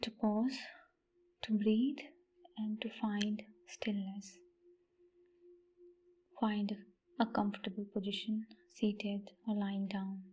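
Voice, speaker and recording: Slow, hushed woman guiding meditation. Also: medium pitch, level tone, dull audio. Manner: hushed, breathy, near-whispered, gentle delivery with long pauses. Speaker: meditation guide, channel host. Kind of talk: guided meditation, soft-spoken.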